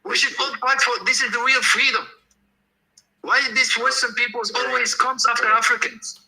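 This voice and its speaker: A man's voice: very high-pitched, steady tone, moderate pace, creaky, sharp tone, rough recording. A man from Algeria, speaking insistently and repetitively as a caller in an online discussion. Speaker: audience caller. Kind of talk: impassioned speech in an online audio discussion.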